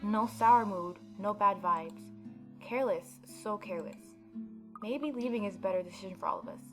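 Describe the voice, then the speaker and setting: A woman's voice: medium pitch, normal speed. A woman hosting a gaming video, reading a visual novel aloud and adding informal, opinionated commentary. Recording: background game music behind voice.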